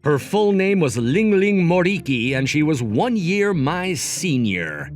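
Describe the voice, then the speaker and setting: A man's voice: fairly high pitch, sing-song, a little slow. An actor playing a smug, logic-obsessed young man who narrates and banters theatrically in a manga dub. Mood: wry, reflective. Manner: smug, wordy, theatrical, dramatic asides. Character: cocky, logic-obsessed young male job seeker, teasing romcom narrator. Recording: music behind the voice.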